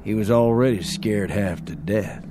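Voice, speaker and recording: An actor in a radio drama playing an earnest frontier man who explains things deliberately, with dramatic pauses. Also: fairly low pitch, noisy background, close to an everyday voice. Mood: grave, explaining. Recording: music bed under the dialogue.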